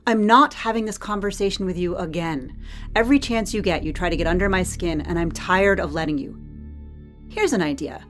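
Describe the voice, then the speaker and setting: A woman's voice: lowish voice, brisk, close to an everyday voice. A woman playing a guarded, weary arena worker in an audio drama, blunt and dry as she presses for answers. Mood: guarded, annoyed. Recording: music under the voice.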